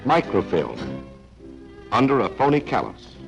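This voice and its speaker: A man narrating a 1940s training film in a dramatic, clipped style with deliberate pauses. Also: lowish voice, wildly swooping pitch, gravelly, low-quality recording. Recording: 1940s film soundtrack with faint music.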